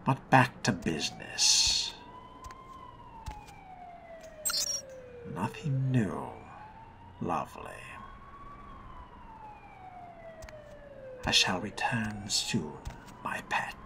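A man voicing a suave, possessive vampire with refined, theatrical diction and a breathy, whispery delivery in a game roleplay. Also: on the low side, wildly swooping pitch, slow, background noise, heavily acted. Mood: seductive, soothing. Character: suave, possessive male vampire fledgling, menacingly tender. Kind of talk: in-character roleplay narration of a video game. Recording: music behind the voice.

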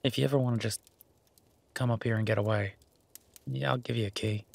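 A man playing a shy, gentlemanly boyfriend in an intimate romantic audio roleplay, speaking softly and haltingly with gentle teasing. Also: mid-range voice, fairly even intonation, vocal fry. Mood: bashful, affectionate. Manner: hesitant, soft-spoken, gently teasing.

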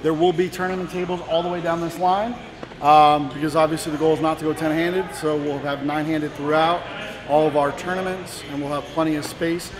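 A man's voice: a little high, little pitch movement, fairly quick. A man leading a walking tour of a large indoor poker room, explaining the setup in a matter-of-fact way with some fillers. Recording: crowd noise in a big poker room. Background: crowd chatter.

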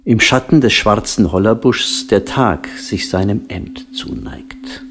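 Slow, deep-voiced man narrating solemnly. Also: noisy background. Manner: hushed, breathy, drawn-out phrasing, trailing into whispers. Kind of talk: solemn poetic narration, audio drama. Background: new-age instrumental music.

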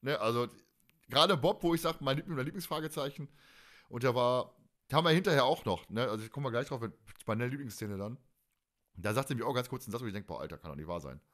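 A man in his forties from Germany chatting casually as a podcast host, in an animated, rambling, colloquial way. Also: medium pitch, fast talker. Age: 40s. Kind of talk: casual chat.

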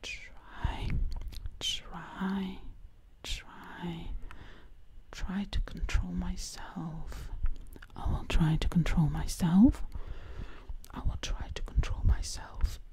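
A young woman in a breathy whisper, doing an ASMR roleplay ramble as a quirky zombie princess, close to the mic and repeating words over and over. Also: fairly low pitch, fairly even intonation, extremely slow, pauses often, dark tone. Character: quirky zombie princess time-traveler from the 1920s. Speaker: ASMR performer. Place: indoors, living room. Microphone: close microphone, ear to ear.

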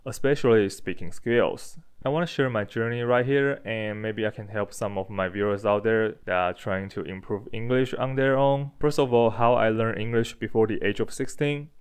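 Low, smooth-voiced young vlogger from Taiwan. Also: male voice, steady tone, moderate pace, hardly any pauses. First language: Chinese and Taiwanese Hokkien. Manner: candid, blunt, with casual swearing. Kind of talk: casual personal vlog. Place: dim room with a desk monitor, indoors. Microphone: handheld furry-windscreen mic near the mouth.

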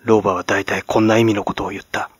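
A man from Kagoshima, Japan, narrating classic literature as a dramatic audiobook in a grave, measured manner. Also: on the low side, flat delivery, husky, no echo. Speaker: actor, narrator. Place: at home, close and dry.

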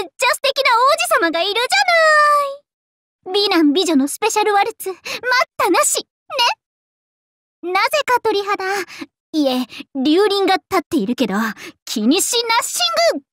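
Super high girly anime game dragon princess, vain and playful, voice swooping everywhere, dry recording. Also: big pitch swings, normal speed, pushed and bright, dry recording, heavily acted. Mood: excited. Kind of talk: Japanese anime game character voice lines.

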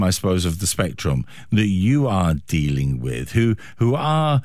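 A man's voice: medium pitch, dry recording, clean studio sound. A man in his sixties hosting a radio interview in a chatty, self-deprecating way. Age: 60s. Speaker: radio host.

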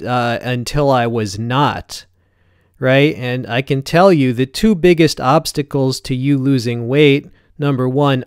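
A man's voice: medium pitch, a little mellow, clear, resonant tone, no echo, studio quality. A man in his forties talking casually to camera from his home gym, blunt and conversational, often tagging his points with "right?". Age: 40s. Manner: blunt, conversational, frequent "right?" check-ins. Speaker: fitness YouTuber.

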